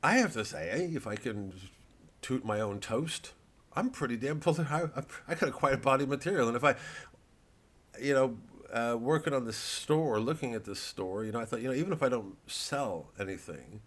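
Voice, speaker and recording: A middle-aged man, a comedian, talking in a rambling, sardonic monologue that swings into loud, mocking asides. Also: mid-range voice, lively intonation, moderate pace. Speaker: comedian promoting his work.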